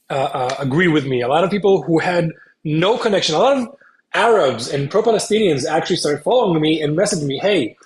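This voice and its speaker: An earnest young man from Spain talks as an interview guest. His voice sits a little high for a man. He explains at length in a casual way and often stops to hesitate. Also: slight background noise, low-quality recording. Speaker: guest, content creator.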